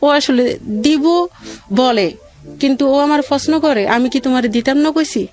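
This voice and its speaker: A middle-aged woman with a colloquial regional Bangladeshi accent tells her own life story in a halting, rambling, plaintive way. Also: sits high, a little mellow. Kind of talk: emotional personal storytelling.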